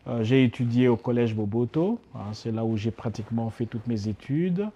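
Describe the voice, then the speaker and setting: A man's voice: mid-range voice, moderate pace, warm tone, husky, muffled recording. A middle-aged man from Congo, a guest in a casual interview, speaking with many fillers and restarts. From Democratic Republic of Congo.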